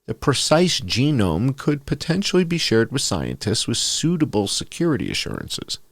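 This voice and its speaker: A man's voice: mid-range voice, wildly swooping pitch, moderate pace, breathy edge, no room sound. A man reading a scripted essay aloud as a narrator, in the style of a bedtime story.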